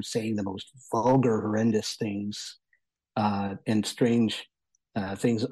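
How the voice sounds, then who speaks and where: A man giving a casual spoken review as a guest, talking in a halting, thoughtful way. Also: on the low side, warm tone, husky, poor audio.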